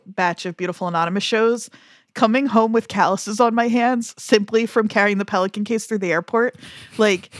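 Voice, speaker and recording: A woman's voice: mid-range voice, a bit bright, no room sound, clean studio sound. A woman in her thirties co-hosting a podcast, chatting casually and playfully, often laughing. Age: 30s. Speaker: podcast co-host. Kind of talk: casual chat.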